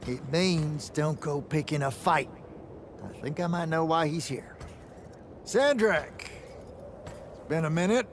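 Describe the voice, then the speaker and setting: A man playing a wry, folksy older battlemage veteran in a video game, talking in a colloquial, gruffly avuncular way. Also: a little high, slow, pauses often, a little hiss or hum. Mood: wry, casual.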